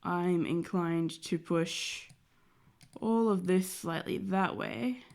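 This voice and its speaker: Young woman, stuffy cold-nosed voice, slightly low pitch, rambling casual stream chat. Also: slow, gravelly, darkish tone. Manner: rambling, lots of "like" fillers. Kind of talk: casual chat while drawing.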